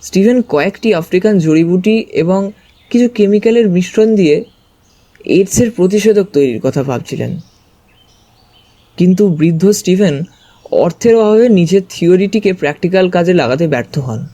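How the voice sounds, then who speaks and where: A man's voice: high-pitched, animated, normal speed, mellow. A man narrating a story dramatically in the first person.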